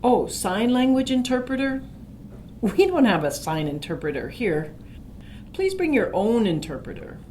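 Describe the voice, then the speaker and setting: A woman playing a brisk, unaccommodating medical receptionist in an educational dramatization. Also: fairly high pitch, very animated, a little mellow. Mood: dismissive. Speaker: doctor's office receptionist. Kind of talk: acted phone dialogue in an educational video. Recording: faint background noise.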